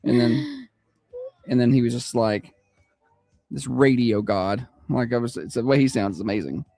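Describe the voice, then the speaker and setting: A man with a high-pitched Southern accent, hosting a morning radio show in casual, self-deprecating chat. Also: very animated, moderate pace, vocal fry, mellow, no room sound. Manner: chatty, self-deprecating, laughs easily. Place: radio studio.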